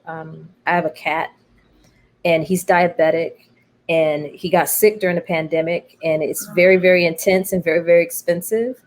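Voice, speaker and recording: A middle-aged American woman speaking reflectively and emphatically in a personal conversation, pausing and repeating phrases for weight. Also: fairly low pitch. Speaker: interview guest, playwright.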